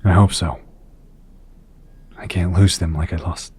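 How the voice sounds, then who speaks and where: Husky, troubled low-voiced hero. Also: male voice, fairly even intonation, darkish tone, exaggerated voice. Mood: worried, despondent. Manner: tense, brooding, halting. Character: distraught husband and father, troubled hero. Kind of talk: audio drama acting.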